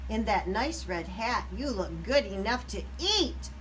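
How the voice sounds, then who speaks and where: A woman's voice: mid-range voice, expressive, measured pace, fairly bright tone, distant mic, a little hiss or hum, rough recording. A woman voicing a sly, hungry big red fox in a children's storybook, coaxing with menace. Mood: sly, menacing. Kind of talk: children's storybook read-aloud character.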